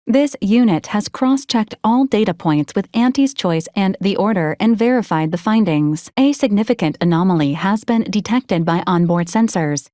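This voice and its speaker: A woman playing a formal, dutiful female ship's AI in a video game, speaking in a measured, clipped, impersonal way. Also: mid-range voice, lively intonation, mellow, no echo, studio quality, close to an everyday voice. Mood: formal, matter-of-fact. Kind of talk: scripted video game voice acting.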